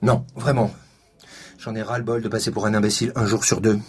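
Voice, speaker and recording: A man's voice: on the low side, level tone, fairly quick, breathy edge. An actor playing a touchy, stubborn policeman in a comedy audio drama, indignant and bitingly sarcastic. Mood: indignant, insistent.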